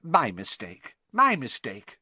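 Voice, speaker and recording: A man voicing a mischievous, wicked poltergeist from a storybook, high-pitched and sing-song, quick to tease or fawn. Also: very animated, pushed and bright, dry recording, full character voice. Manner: teasing rhymes, then fawning. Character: wicked, mischievous poltergeist, greasily servile when fooled.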